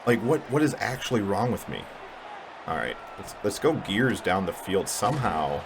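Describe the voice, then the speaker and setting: A man's voice: fairly low pitch, sing-song, moderate pace, creaky, noisy background. A man giving casual, mumbly, self-deprecating commentary while playing a video game. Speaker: gaming channel host. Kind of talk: casual let's-play commentary.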